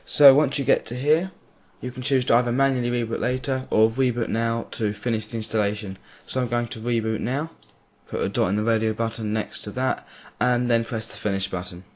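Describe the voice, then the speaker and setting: A man giving a clear step-by-step voice-over for a software tutorial. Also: mid-range voice, steady tone, brisk, few pauses. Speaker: tutorial creator. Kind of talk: screen-recorded software tutorial.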